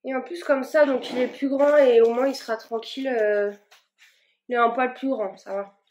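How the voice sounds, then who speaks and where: A woman's voice: a little high, fairly even intonation, moderate pace, a little mellow. A woman vlogging casually as she repots her plants, talking in a rambling way with plenty of fillers and restarts. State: a bit warm and tired from the work.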